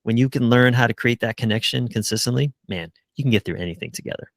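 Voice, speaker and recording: A man's voice: mid-range voice, fast talker, creaky, dry recording, studio quality. A middle-aged man giving earnest, casual answers as a relationship coach in a conversational interview. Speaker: relationship coach, podcast guest.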